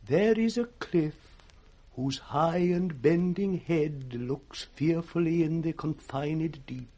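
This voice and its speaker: An actor playing a wretched, blinded old nobleman with a British accent, husky and broken, in 1960s radio Shakespeare style. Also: a little high, mellow. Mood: weary resolve. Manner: slow, broken, declamatory.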